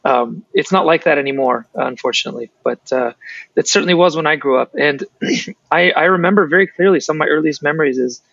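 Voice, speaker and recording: A man from San Francisco, raised speaking Russian first, answers interview questions in a chatty, rambling way. His voice sits a bit high for a man and comes through a dry, dull-sounding mic with no room echo. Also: brisk, gravelly, fairly bright tone. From San Francisco, United States. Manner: chatty, rambling, restarts sentences. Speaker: guest author and translator. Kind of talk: casual interview talk.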